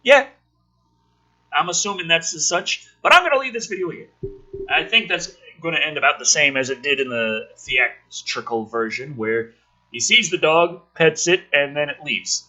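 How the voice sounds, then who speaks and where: A man's voice: a little high, normal speed, poor audio. A man reading a film script dramatically as a video host, breaking off for casual, sweary, sardonic commentary. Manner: theatrical, animated delivery.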